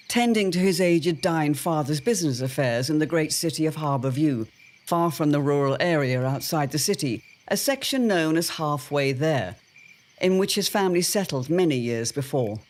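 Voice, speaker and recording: A man narrating a dramatic serial in a measured, theatrical, old-time announcer manner. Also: a little high, expressive.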